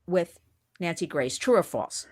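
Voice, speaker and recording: A woman hosts an interview show. She talks in an animated, gushing way, laughing often and bubbling over with praise for her guest. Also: fairly low pitch, unhurried. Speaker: podcast host. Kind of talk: enthusiastic interview chat.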